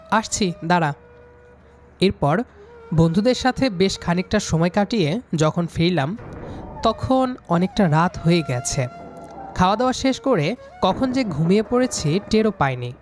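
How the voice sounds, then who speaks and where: Young guy telling a first person story w/ acted lines, some music under it. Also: high-pitched. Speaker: story narrator playing the student hero. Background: background music.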